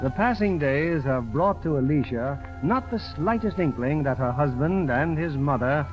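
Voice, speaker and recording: A man's voice: a little high, lively intonation, normal speed. A man hosting and narrating a 1940s radio drama in a formal scripted style. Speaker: radio producer and host. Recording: dull vintage radio recording with music.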